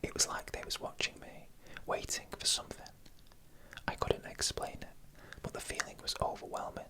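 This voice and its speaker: A narrator telling stories in a breathy, unvoiced ASMR whisper, in hushed and deliberate short phrases. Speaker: narrator and channel host.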